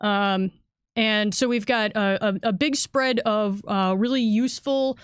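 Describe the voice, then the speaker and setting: A woman's voice: medium pitch, fairly even intonation, unhurried, smooth, no echo, clean studio sound. A woman speaking conversationally as a game designer and editor, chattily explaining a product and correcting herself as she goes. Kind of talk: conversational product walkthrough for a livestream.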